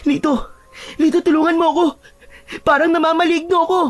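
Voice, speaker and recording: Woman acting a very high-pitched frightened child. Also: level tone, fast, no echo. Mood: panicked, pleading. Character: frightened young child, pleading and bewildered. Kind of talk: dramatized story acting.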